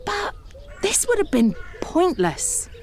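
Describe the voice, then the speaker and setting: A woman's voice: very high-pitched, huge pitch swings, takes it slowly, a little mellow, exaggerated voice. A woman playing a frightened, argumentative amateur detective aboard the Ark in a comedy audio drama, with an exasperated, insistent manner. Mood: wry, subdued narration. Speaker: lead actor and narrator.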